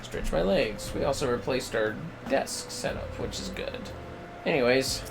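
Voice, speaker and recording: A man chatting casually on a gaming livestream, sounding drowsy and muttering his low-key commentary. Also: animated, unhurried, gravelly, over music. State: tired, drowsy. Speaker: game livestreamer.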